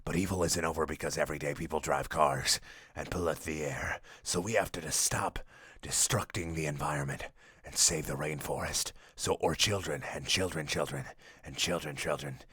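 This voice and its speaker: A man's voice: very deep voice, normal speed, a bit bright, husky, no echo, clean studio sound, voice pushed down, full character voice. A man voicing a stern, righteous action hero in a parody story, with stilted broken-English delivery. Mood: solemn, preachy. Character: stern, heroic male action agent, bluntly righteous.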